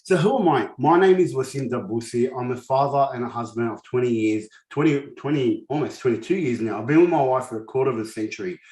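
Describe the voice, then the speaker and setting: A man's voice: mid-range voice, quick pace, few pauses, darkish tone, roomy sound, low-quality recording. A man in his forties with a lisp, whose first language is not English, giving a personal, earnest motivational presentation at a summit. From grew up in a war-torn country, raised in Australia. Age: 40s. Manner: earnest, personal storytelling with frequent restarts. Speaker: summit speaker.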